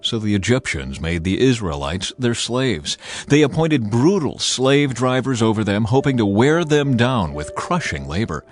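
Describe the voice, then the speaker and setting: A man reading scripted Bible narration in a measured, expressive storytelling manner. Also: medium pitch. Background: background music.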